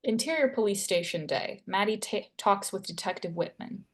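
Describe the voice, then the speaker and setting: A woman's voice: medium pitch, animated, normal speed. A woman with a mid-range voice reads stage directions aloud. Her delivery is scripted narration, setting scenes at a screenplay table read.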